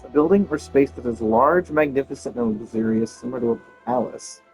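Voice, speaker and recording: A man's voice: medium pitch, brisk, a little mellow, soft background music, poor audio. Casual man commenting on a game. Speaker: gameplay streamer. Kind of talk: casual game commentary, reacting and reading aloud.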